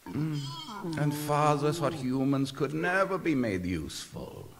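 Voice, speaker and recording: A man's voice: fairly high pitch, big pitch swings, measured pace, creaky, a little hiss or hum, heavily acted. A man voicing a pompous, sadistic vampire lord and inventor in a video game, savouring his words theatrically. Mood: smug, gloating. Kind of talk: video game cutscene acting.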